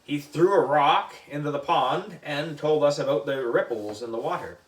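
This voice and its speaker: A man reading a children's story aloud in an animated narrating style. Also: fairly high pitch, normal speed. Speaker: book club reader. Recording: echoey room, distant mic.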